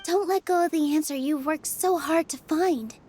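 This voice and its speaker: A woman playing a gentle, earnest young dancer in an anime video game, speaking in a sincere, soothing, measured way. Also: high-pitched, slight background noise, lightly acted. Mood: consoling. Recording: music behind the voice.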